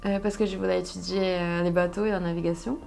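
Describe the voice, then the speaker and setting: A young woman from Brazil, a native Portuguese speaker with a Brazilian accent, telling her story conversationally and haltingly as she searches for words. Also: fairly low pitch, flat delivery, creaky, a little mellow, soft background music. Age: young adult. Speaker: interview guest, solo sailor.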